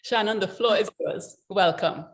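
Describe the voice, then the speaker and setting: A woman's voice: medium pitch, a little slow. Effusive woman host introducing a guest. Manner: warm and effusive in her praise. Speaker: host and founder of the group.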